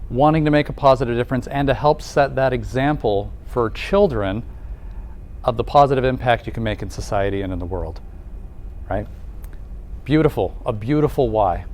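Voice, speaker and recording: Male presenter, mid-pitched, lively conversational delivery with rhetorical questions, live audience in the room. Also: moderate pace, long pauses, a little hiss or hum. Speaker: presenter at a nursing college welcome event.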